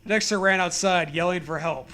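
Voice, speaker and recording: A man's voice: high voice, normal speed, pushed and bright. A young man hosting a comedy podcast, reading bad fan fiction aloud in a casual, irreverent, jokey way. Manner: jokey, irreverent, laughs often.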